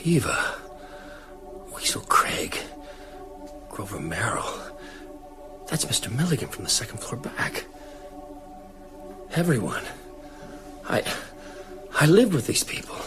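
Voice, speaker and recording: An actor playing a haunted but determined novelist turned vampire hunter in a radio drama. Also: male voice, mid-range voice, very animated, slow, long pauses, over music. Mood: horrified, hushed. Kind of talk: radio drama acting with first-person narration.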